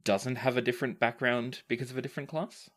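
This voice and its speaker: A man hosting a podcast as game master, reading and answering listener questions in measured, deliberate phrasing. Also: medium pitch, steady tone.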